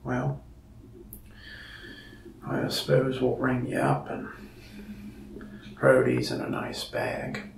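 A man playing a gravelly, soft-spoken mask shop salesman. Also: mid-range voice, very animated, echoey room, far from the mic, a little hiss or hum, heavily acted, low-quality recording. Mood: soft, friendly. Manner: slow, soft-spoken, gravelly with frequent whispered stretches. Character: lonely, friendly male mask shop salesman. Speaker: ASMR creator. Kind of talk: soft-spoken ASMR roleplay. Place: small indoor room, mask wall behind.

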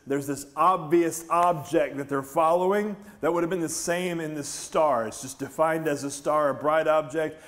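A middle-aged man with an American accent preaches in a somewhat high-pitched voice. He is a pastor who talks conversationally, retelling scripture like a lively story and tossing questions out to his listeners. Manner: animated storytelling with questions to the congregation. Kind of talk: conversational church sermon. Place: large church stage, indoors.

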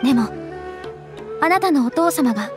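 A high, ladylike young noblewoman, gentle yet resolute. She speaks with composed anime game refinement while music plays clearly behind her. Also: animated, normal speed. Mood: serious.

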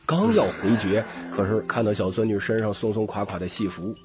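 A man with a gravelly, husky voice narrating an audiobook story expressively. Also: lowish voice, animated, fairly quick, music in the background.

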